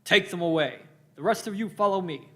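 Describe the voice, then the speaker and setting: A stern leader of ancient Egyptian soldiers, acted by a man for an amateur radio play. The voice sits fairly high for a male, and the delivery is declamatory and commanding. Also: brisk, lightly acted. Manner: barking, declamatory orders.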